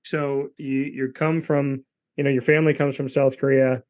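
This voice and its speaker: A man hosts an interview, asking long, winding questions that restart partway through. His voice sits in a middle pitch range. He talks in a casual, conversational way. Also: little pitch movement, normal speed, darkish tone. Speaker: podcast host, interviewer.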